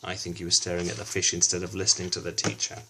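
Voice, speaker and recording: A man's voice: deep voice, completely flat delivery, fast, background noise. A man reading a children's book aloud, narrating with chatty asides addressed to young listeners.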